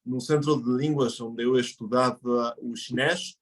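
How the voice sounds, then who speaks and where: A man from Mexico, a native Spanish speaker, talking as a guest in a relaxed interview and explaining things slowly with frequent self-corrections. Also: mid-range voice. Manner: explanatory, thinks aloud with pauses and self-corrections. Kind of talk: casual interview conversation.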